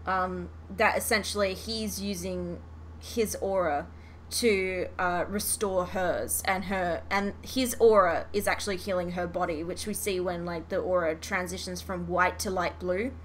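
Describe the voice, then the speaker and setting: A woman with a bright, forward voice chats casually as a podcast host. She is expressive and swears freely. The recording has faint noise behind her. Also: fairly low pitch, a little slow. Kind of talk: casual fan chat reviewing a show.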